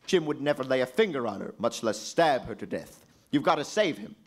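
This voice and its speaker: An actor playing an anxious, loyal friend pleading earnestly in an old-time radio drama. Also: male voice, mid-range voice, very animated, fairly quick. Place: live stage performance at a convention.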